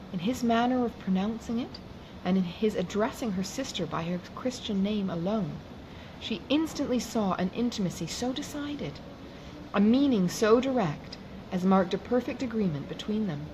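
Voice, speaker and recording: A woman narrating a classic novel in a measured, expressive reading. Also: medium pitch. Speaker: audiobook reader. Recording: noisy background hiss.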